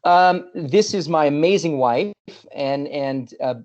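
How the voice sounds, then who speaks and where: A man's voice: a little high, takes it slowly, darkish tone. A middle-aged man from California, giving a friendly, casual presentation as a guest speaker and often restarting his sentences. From California, USA. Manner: friendly, with many restarts and repeated words. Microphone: video call.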